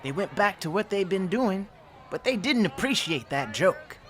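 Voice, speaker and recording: A woman narrating rhyming fables for a radio drama in a theatrical, expressive, emphatic style. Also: medium pitch, moderate pace. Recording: loud music behind narration.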